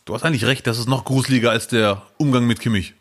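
A man in his thirties with a mid-range voice co-hosts a relaxed podcast. He tells stories with animated energy and drops jokes along the way. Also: mid-range voice, fast talker. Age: 30s. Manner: animated storyteller, lively and jokey. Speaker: podcast co-host. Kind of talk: casual podcast chat.

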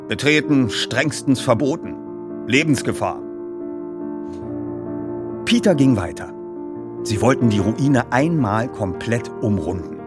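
A man hosting a feature and reading a story aloud as an expressive narrator. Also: mid-range voice. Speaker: host and narrator. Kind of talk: podcast introduction and audiobook reading.

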